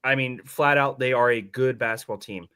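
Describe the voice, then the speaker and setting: A hoarse-voiced man hosting a sports podcast, breaking down a game casually and candidly with some mild swearing. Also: medium pitch, moderate pace, bright, forceful tone.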